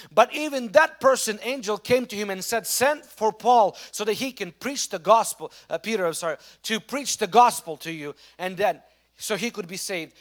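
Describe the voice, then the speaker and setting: A man with a Russian accent preaching a sermon as a pastor, telling stories with lively restarts. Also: high voice. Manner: animated storytelling preacher with frequent restarts.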